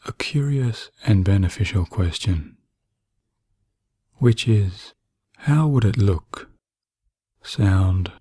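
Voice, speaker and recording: A man narrating guided sleep hypnosis in a soothing, hushed manner with long pauses. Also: lowish voice, slightly rough, no room sound, clean studio sound.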